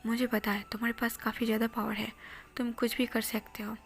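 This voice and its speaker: A woman's voice: a little high, little pitch movement, fairly quick, close to an everyday voice. A woman whispering the lines of an anxious young middle-class woman in a storybook tale. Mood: fearful. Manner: soft whispering, fearful.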